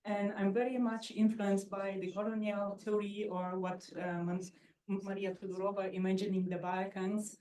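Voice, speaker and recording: A woman from Bulgaria, a researcher speaking on a panel, soft-spoken and hesitant. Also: medium pitch. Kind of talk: panel discussion. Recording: distant echoey mic.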